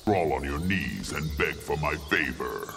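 An actor playing a merciless ancient alien god-conqueror in a video game, gravelly and booming, with slow imperious menace. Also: male voice, very animated, heavy background noise, exaggerated voice. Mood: menacing threat. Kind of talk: video game villain acting. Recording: cavernous reverb, loud game sound behind.

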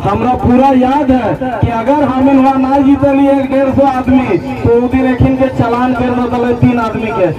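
A man's voice: extremely high pitch, steady tone, unhurried. A young man with a Jharkhand regional Hindi accent gives a fiery political rally speech into a handheld microphone outdoors under a tent. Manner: fiery, chant-leading, rhetorical. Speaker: rally speaker. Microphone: handheld microphone over loudspeakers, filmed by phone. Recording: distant, muffled PA sound with loud crowd.

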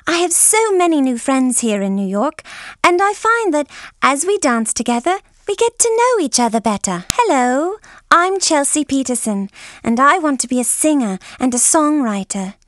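A woman's voice: high voice, very animated, moderate pace, dry recording. A woman playing a dreamy, gushing teenage girl with an English accent in a video game. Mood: dreamy, enthusiastic. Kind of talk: scripted game character lines.

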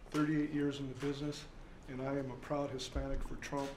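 A man's voice: medium pitch, flat delivery, fairly quick. A man in the trucking business introducing himself proudly and upbeat. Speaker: trucking worker. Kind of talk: formal self-introduction. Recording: echoey room, loud clicking noise.

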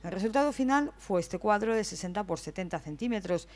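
Calm woman news voice-over. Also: mid-range voice, fairly quick. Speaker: news reporter. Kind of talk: scripted voice-over report.

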